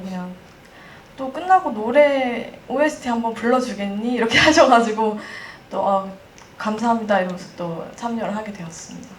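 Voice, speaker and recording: Woman, panel guest, polite, chatty, roomy reverb, distant mic, faint noise. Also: a little high. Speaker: actress on a panel. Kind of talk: casual panel talk. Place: cinema hall.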